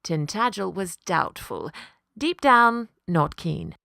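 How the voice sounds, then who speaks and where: Slow, expressive woman storyteller. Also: on the low side, vocal fry, no room sound, studio quality. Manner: rhythmic rhyming delivery, deliberate. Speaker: voice actor, storyteller. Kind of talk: expressive scripted children's story narration.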